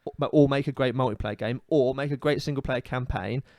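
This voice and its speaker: A man's voice: medium pitch, fairly quick, a little mellow, dry recording, studio quality. A British man co-hosting a podcast, chatting casually and giving emphatic opinions, often repeating himself as he complains. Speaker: podcast co-host. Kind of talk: casual opinionated gaming chat.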